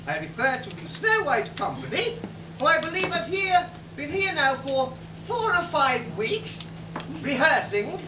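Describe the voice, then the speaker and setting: A woman playing a gushing, saucy comic cook in an amateur pantomime, with drawn-out theatrical delivery. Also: very high-pitched, exaggerated voice. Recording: distant echoey bar, crowd and noise.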